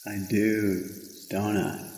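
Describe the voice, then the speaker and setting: A young man acting in a radio drama as a nervous, wry doomed man, speaking in a halting, awkward, sardonic way. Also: fairly low pitch, flat delivery, darkish tone. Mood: wary. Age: young adult. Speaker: student voice actor. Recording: echoey reverberant room with faint noise.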